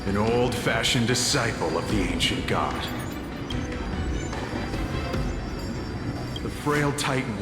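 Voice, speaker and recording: A man's voice: mid-range voice, natural voice. Gloating, cruel zealot played by a man, echoing hall. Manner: mocking, theatrical, scornful. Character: gloating, cruel male zealot captor passing judgment. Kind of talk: English video game voice acting. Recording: echoey hall reverb under loud music.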